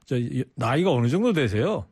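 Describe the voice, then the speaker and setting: A man's voice: medium pitch, big pitch swings, normal speed, darkish tone, breathy edge, no room sound, clean studio sound. A man in his sixties hosting a radio call-in, chatting with a listener and asking one friendly question after another. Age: 60s. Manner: chatty, curious, asks many friendly questions. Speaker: radio host. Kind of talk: casual radio call-in chat.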